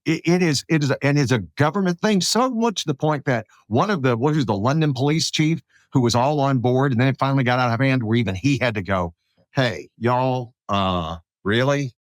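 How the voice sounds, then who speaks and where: A man hosts a podcast interview in a mid-pitched voice. His talk is conversational, informal and folksy. The recording is very dry, with no room sound. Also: expressive, brisk, dry recording. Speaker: podcast host.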